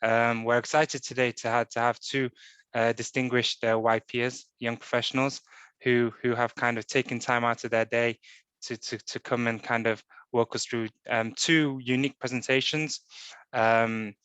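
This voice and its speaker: A man chairs an online event over a video call, introducing the speakers with plenty of "um" and restarts. His voice is smooth and resonant but stays flat in pitch, and the room sounds dry. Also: moderate pace, no echo, low-quality recording. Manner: hesitant, many fillers and repeats.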